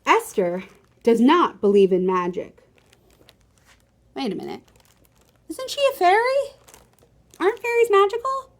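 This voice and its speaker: Female children's storytime reader: high-pitched, unhurried, expressive, addressing kids directly. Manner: animated, expressive, talks directly to young listeners. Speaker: library storytime host and reader. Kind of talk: children's picture-book read-aloud with chatty asides to listeners.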